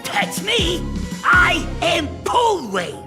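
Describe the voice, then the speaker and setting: A woman voicing a brash, animated female gang leader in a video game, with a creaky edge. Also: very high voice, drawn-out words, full character voice. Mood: brash, commanding. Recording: music under the voice.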